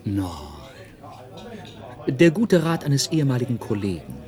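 Animated mid-pitched male voice, actor as evasive official, brusque, patronizing, radio play. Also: a little slow, close to an everyday voice. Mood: veiled threat. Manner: brusque, dismissive. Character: evasive male forestry official, dismissive, patronizing, quietly threatening. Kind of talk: German radio play acting.

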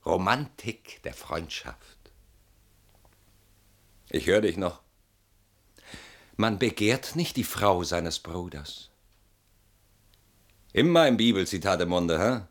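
Weary bitter man, radio drama, brooding, mocking, lowish voice, wildly swinging pitch. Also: lively intonation, slow, long pauses, full character voice. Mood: weary, remorseful. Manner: brooding, mocking, swinging between muttering and outbursts. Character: weary, bitter man haunted by a betrayed old friend. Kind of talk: theatrical German radio drama monologue.

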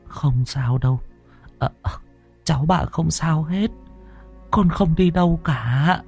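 A man's voice: on the high side, takes it slowly, vocal fry, darkish tone, husky, soft background music, pitched above the performer's own voice, exaggerated voice. A man voicing an old grandmother who soothes her grandson with a stammering, choked voice. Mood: choked, soothing. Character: old grandmother, holding back tears.

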